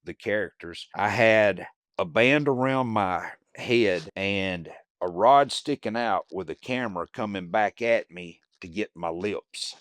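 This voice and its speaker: Man, deep voice, booming, storytelling guest, dry close sound. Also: a little slow, few pauses, a bit bright, dry recording. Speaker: guest actor. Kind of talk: casual interview chat.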